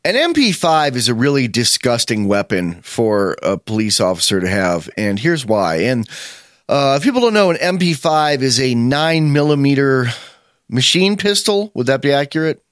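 A man's voice: medium pitch, sing-song, normal speed, dry recording. Man, 50s, podcast host type, rambling and opinionated, dry close sound. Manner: rambling, opinionated, many fillers and restarts. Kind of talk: casual chat.

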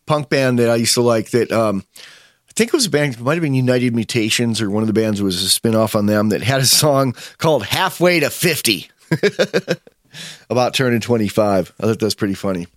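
Man in his fifties, casual chat, rambling with restarts, middle pitch, dry recording. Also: animated, a little fast, dry recording. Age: 50s. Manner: rambling, opinionated, many fillers and restarts.

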